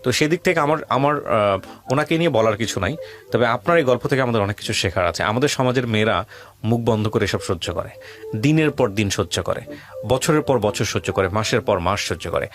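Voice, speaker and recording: A man's voice: on the low side, lively intonation, brisk. A man with a Bangladeshi accent hosting a radio interview, bluntly pressing and advising, repeating phrases and mixing in English words. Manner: mixes English words into speech. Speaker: radio show host. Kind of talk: probing interview with advice to a guest.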